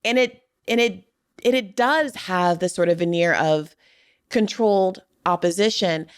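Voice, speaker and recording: A woman hosting a political interview, framing long, carefully qualified questions in a deliberate way. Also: on the low side, clean tone. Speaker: podcast host, interviewer.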